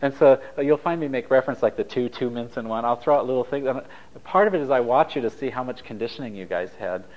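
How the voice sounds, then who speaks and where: A man's voice: mid-range voice, quick pace, darkish tone, highs cut off. A man from Italy whose first language is Japanese, leading a taped relationship workshop in a conversational, anecdotal way, joking with the group. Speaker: workshop leader.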